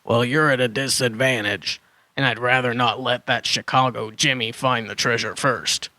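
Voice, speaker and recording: A man's voice: medium pitch, fairly even intonation, moderate pace, bright, forceful tone, dry recording. A man playing a flustered dinosaur hermit who muddles his words in a children's audio drama. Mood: matter-of-fact. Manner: flustered word-swapping, correcting himself.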